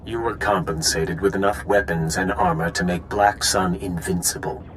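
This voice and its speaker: An actor voicing a cold, haughty male dark lord villain in a sci-fi video game, imperious and disdainful. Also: sits low, steady tone, normal speed, slight background noise. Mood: dismissive.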